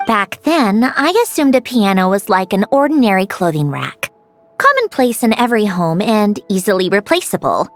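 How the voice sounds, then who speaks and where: A woman's voice: mid-range voice, big pitch swings, moderate pace. A proud young female mechanic from a video game. Her delivery swings expressively between dry precision and nostalgia, sure of her own brilliance. Music plays behind her. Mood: wistful, composed. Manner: self-assured, precise, nostalgic. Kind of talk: scripted video game dialogue.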